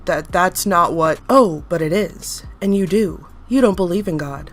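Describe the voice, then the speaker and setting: A woman's voice: on the low side, measured pace. A woman puts on an eerie male creation of a strange god in this horror story reading. He speaks like an odd, childlike thing and then turns fanatical and threatening. Faint music plays beneath. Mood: menacing.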